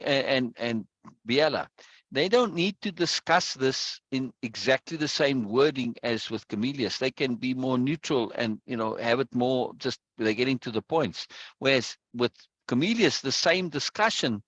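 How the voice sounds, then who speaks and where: A man speaks close into a boom mic during a casual video-call discussion, with a loud, dry, present sound. He lays out his point at length, circles back to it, and keeps asking his listener whether she follows. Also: no room sound. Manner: explains at length with repeated check-ins like "right?" and "yes?". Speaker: discussion leader.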